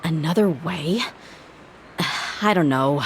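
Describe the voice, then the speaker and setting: A woman's voice: low voice, takes it slowly, background noise. An actress playing an earnest, dutiful young female bodyguard in a video game, respectful and direct. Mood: troubled, frustrated. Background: music.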